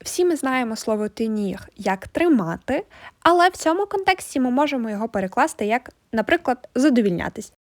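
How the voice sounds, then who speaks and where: A woman narrates a language lesson. Her voice is on the high side, and she explains phrases as a teacher would. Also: big pitch swings, normal speed. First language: Ukrainian. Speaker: French teacher.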